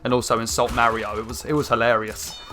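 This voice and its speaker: A young man with a croaky throat, rambling through casual gameplay commentary with lots of fillers. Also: medium pitch, a little fast. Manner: rambling, chatty, many fillers, excited outbursts. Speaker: gaming channel host. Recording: faint game music behind. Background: game music.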